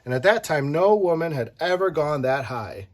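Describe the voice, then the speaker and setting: An adult reading a children's picture book aloud, playful and animated, stopping to chat with the child listening. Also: mid-range voice, moderate pace. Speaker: read-aloud storyteller.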